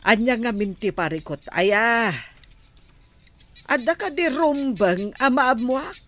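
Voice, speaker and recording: A woman's voice: fairly high pitch, expressive, takes it slowly, fairly bright tone. A woman playing a concerned, questioning middle-aged mother in a radio drama, with a Filipino Ilocano accent. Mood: concerned, admonishing.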